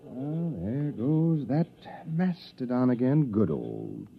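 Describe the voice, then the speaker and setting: A man acting an easygoing, soft-hearted inventor and adventurer in a 1950s radio drama, whimsical and mock-grandiose. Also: mid-range voice, very animated, slow, creaky, full character voice. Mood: fond musing. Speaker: actor. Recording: dull, muffled vintage radio recording.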